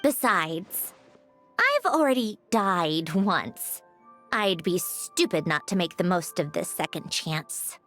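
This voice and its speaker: A woman's voice: on the low side, big pitch swings, slow, fairly bright tone, faint music behind, heavily acted. An actor playing a guarded, sardonic woman in a video game, speaking in a knowing, dry manner. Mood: wry, guarded. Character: guarded, sardonic woman who died and returned.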